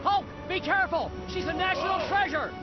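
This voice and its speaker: Very high excitable woman hero. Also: a little fast. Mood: alarmed. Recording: muffled game audio under loud music.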